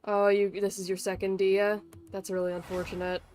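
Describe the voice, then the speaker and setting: A woman's voice: mid-range voice, level tone, moderate pace, a little mellow, a little hiss or hum. A woman livestreaming a game, chatting in a casual, rambling way with playful teasing and some swearing. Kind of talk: casual gaming stream chat. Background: music in the background.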